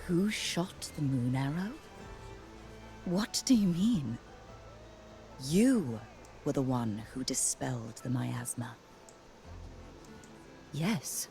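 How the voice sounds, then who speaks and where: A woman playing a solemn, composed temple priestess in a video game, speaking in a measured, formal way. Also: very deep voice, expressive, vocal fry, warm tone, soft background music, exaggerated voice. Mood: puzzled. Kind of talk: scripted video game dialogue.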